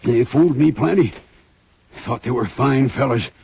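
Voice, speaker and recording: A man's voice: mid-range voice, moderate pace, darkish tone, no room sound. An actor playing a shaken, injured frontier bank man in a 1950s radio western, speaking weakly and huskily as if in pain. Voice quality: husky, gravelly. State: weak, in pain. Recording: dry, dull 1950s radio recording.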